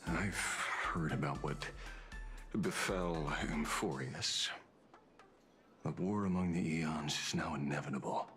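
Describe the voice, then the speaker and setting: An actor playing a sardonic, world-weary older detective for hire in a game, with a theatrical drawl. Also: male voice, rumbling low, slow pace, pauses often, a bit bright, soft background music, heavily acted. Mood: grave.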